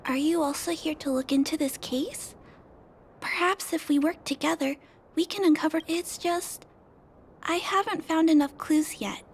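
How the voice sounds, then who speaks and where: High-voiced earnest young girl detective. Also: normal speed, slight background noise. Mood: puzzled, earnest. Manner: polite, thoughtful, hesitant. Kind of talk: video game voice acting. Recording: game music behind the voice. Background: game background music.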